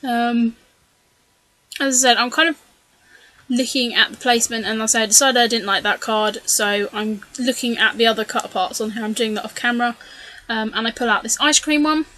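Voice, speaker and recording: A woman talks through her scrapbooking project in a loose, chatty voice-over, stopping often for ums and side comments. Her voice is bright and a touch high, and a little worn, as if she is losing it. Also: steady tone, normal speed. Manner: chatty, rambling, many ums and self-corrections. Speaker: scrapbooking video creator. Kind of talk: casual voice-over narration of a craft process.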